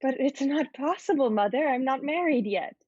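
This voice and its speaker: A naive, frightened young teenage girl in a period stage drama , acted by a woman. Her voice is a little high and her lines come earnest and halting. The recording is dry and slightly muffled. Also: lively intonation, darkish tone, no room sound. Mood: bewildered, pleading. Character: frightened, naive, ailing fourteen-year-old girl. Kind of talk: dramatic reading of a 19th-century play.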